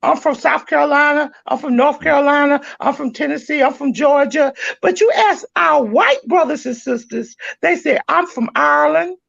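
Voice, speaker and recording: A woman hosting a church-minded talk show, speaking with fervent, preacher-like passion that climbs to shouted exhortation. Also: high voice, moderate pace, creaky, few pauses, no room sound. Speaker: podcast host. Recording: dry, dull lo-fi sound.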